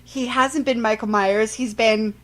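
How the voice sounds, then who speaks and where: A woman with a fairly high voice chats as a podcast co-host. Her banter about films is casual and wry. She jokes freely and salts her talk with swearing. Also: steady tone, a little slow. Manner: wry, joking, salty language.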